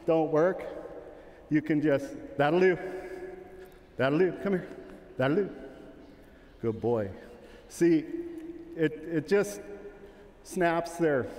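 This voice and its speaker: A man's voice: on the high side, darkish tone. A middle-aged man giving a casual, joking sheepdog training demonstration in a large indoor arena. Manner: casual, joking, calls commands to his dog. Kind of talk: live demonstration talk. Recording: echoing arena, long reverb.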